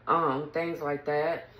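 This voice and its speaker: Woman tarot reader, raspy morning voice, low register, roomy sound, casual chatter with frequent throat-clearing. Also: a bit bright. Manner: chatty, clears throat often, reads card texts aloud. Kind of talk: casual tarot reading to camera.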